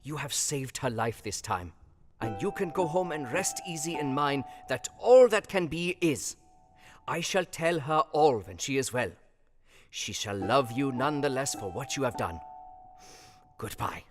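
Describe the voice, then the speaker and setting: A man playing an earnest, commanding foreign professor-doctor in a gothic audio drama, speaking quaint, formal English in a deliberate way. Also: medium pitch, lively intonation, natural voice. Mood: kindly instructing. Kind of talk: dramatised audio reading of a Victorian gothic novel. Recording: long hall-like reverb, music underneath.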